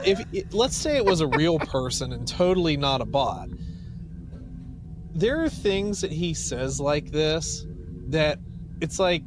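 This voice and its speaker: Higher-voiced, animated man with background noise. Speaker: podcast co-host. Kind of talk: casual chat.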